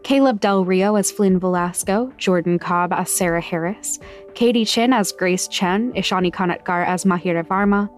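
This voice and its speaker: A woman's voice: on the low side, normal speed, soft background music. A woman reading scripted podcast credits and promos as an announcer.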